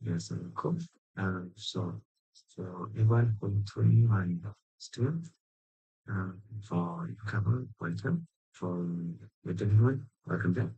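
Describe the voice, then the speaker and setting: A man giving casual gameplay commentary in a halting, mumbled way. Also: sits low, vocal fry, dark tone, husky, lo-fi sound. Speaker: game streamer.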